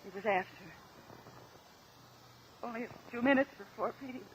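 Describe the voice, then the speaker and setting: An American woman playing a deferential, halting widow giving testimony in a mid-century radio drama. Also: a little high, lightly acted. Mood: hesitant, polite. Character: polite, hesitant widowed wife testifying on the witness stand. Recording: dull, lo-fi old radio recording.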